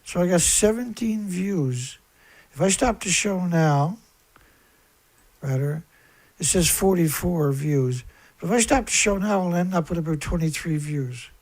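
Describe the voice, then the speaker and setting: Guy w a squeaky kinda high voice doing a rambly livestream monologue, blunt n fed up, repeats himself for emphasis. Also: measured pace, darkish tone. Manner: aggrieved, emphatic, repetitive, blunt. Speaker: live stream host, environmental activist. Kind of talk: rambling live-stream monologue on a serious topic.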